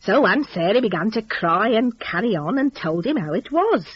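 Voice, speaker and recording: A woman's voice: mid-range voice, sing-song, normal speed, slightly rough, no room sound, dull audio. A woman narrating a dramatic story in a chatty, gossipy, folksy dialect.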